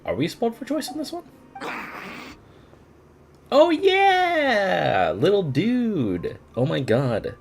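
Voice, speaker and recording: A man's voice: high voice, big pitch swings, takes it slowly, vocal fry, pauses often. A man streaming a game with casual live commentary, exclaiming and musing aloud to himself. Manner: exclaims and muses aloud to self. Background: game music.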